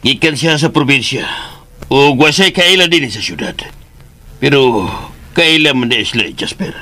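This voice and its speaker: A man's voice: medium pitch, expressive, unhurried, sharp tone. A man acting a genial, well-off middle-aged father and employer in a Filipino radio drama, with a Cebuano accent. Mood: explaining.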